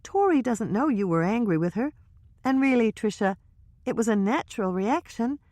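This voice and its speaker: A woman's voice: on the high side, lively intonation, moderate pace, a little mellow, no room sound, voice pushed up, lightly acted. A woman voicing a curious, sympathetic young woman who sounds eager and reassuring.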